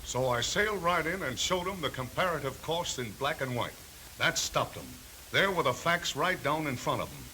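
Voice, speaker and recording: A self-assured salesman from a 1930s training film. His mid-pitched male voice is bright and lively, full of emphatic slang. Faint noise runs under the old soundtrack. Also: moderate pace, poor audio. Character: brisk, self-assured refrigerator salesman swapping sales tricks.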